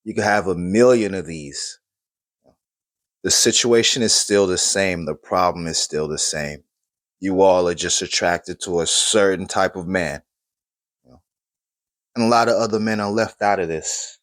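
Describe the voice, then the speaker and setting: A man's voice: low-pitched, measured pace, long pauses, fairly bright tone, no echo, clean studio sound. A man commenting on video clips in a skeptical, matter-of-fact way. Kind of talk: reaction commentary.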